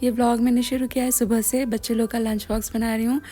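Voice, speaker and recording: A woman's voice: fairly high pitch, flat delivery, quick pace, dark tone. An Indian woman in her thirties talking casually to her viewers in a home vlog, candid and earnest, with a slightly sore, scratchy throat and English words mixed into her speech. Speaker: vlogger. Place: home kitchen.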